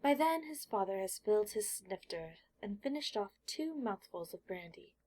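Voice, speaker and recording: A woman with a slightly husky voice reading scripted fiction as an audiobook narrator. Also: mid-range voice, animated, normal speed, darkish tone, rough recording.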